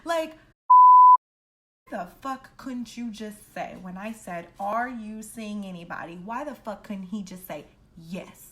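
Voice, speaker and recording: A woman casually telling a personal story in an animated, slangy, heavily profane way, acting out the dialogue as she goes. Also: medium pitch, slow, heavy background noise. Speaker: storytime vlogger.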